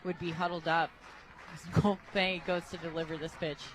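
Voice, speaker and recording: American woman giving softball color commentary. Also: lowish voice, level tone, brisk, background noise. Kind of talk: conversational sports analysis and anecdotes. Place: radio booth at a ballpark.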